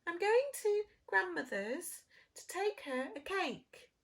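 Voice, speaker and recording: A woman's voice: very high-pitched, very animated, slow pace, pitched above the performer's own voice, full character voice. A woman voicing a sweet, innocent small girl in a children's storybook, full of wide-eyed wonder. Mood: eager. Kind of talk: children's storybook read-aloud.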